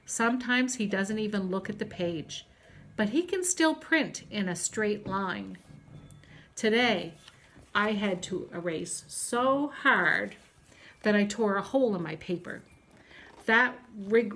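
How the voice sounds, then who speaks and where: A woman reads a children's story aloud with the deliberate, expressive delivery of a teacher. Her pitch sits in an ordinary middle range for a woman. There is faint background noise in the recording.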